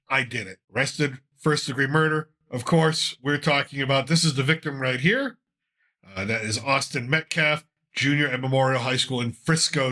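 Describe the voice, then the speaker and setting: A man's voice: medium pitch, sharp tone. A man hosting a casual talk show, commenting on news in a loose, rambling way, and congested from a cold. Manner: loose, rambling, with frequent uh fillers. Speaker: podcast host.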